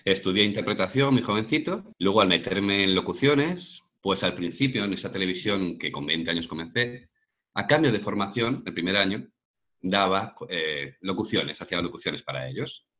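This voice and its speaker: A man's voice: sits low, lively intonation, moderate pace, fairly bright tone. A man of about forty with a Madrid Castilian accent, a professional voice-over artist answering questions in a conversational interview. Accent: Castilian Spanish from Madrid. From Madrid, Spain. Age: around 40.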